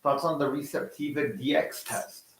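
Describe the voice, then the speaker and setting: A man co-hosting a live stream, reading out viewer questions and joking in a relaxed way. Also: fairly high pitch, moderate pace, slight background noise. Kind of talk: live Q&A chat.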